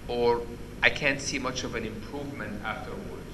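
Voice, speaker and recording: A man with a loud voice giving an interactive medical lecture, quizzing the audience and restarting his sentences often. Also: medium pitch, fairly even intonation, normal speed, a little hiss or hum. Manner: questions the audience, many restarts. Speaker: physician lecturer. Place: conference hall.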